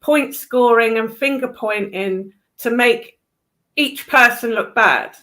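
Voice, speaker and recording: A woman's voice: a little high, slow, pushed and bright. A woman chatting casually in an exasperated, emphatic way, with some casual swearing. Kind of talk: casual chat.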